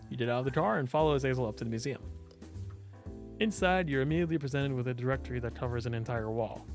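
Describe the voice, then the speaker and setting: Male visual novel narrator, expressive read, fry in the voice, soft background music. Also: medium pitch. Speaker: let's player and voice actor.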